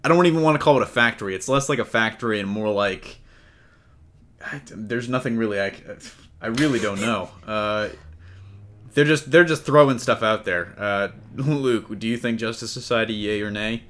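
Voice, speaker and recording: A man with a fairly low voice, talking as the host of a casual podcast panel. He has a wry, joking manner and chats informally. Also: moderate pace, fairly bright tone. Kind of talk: casual panel chat about comics.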